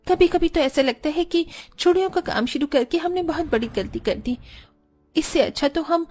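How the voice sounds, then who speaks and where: A woman voicing a weary, poor village daughter-in-law, plaintive and earnest, in an animated family story. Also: extremely high pitch, moderate pace, soft background music. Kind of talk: animated Hindi storybook dialogue.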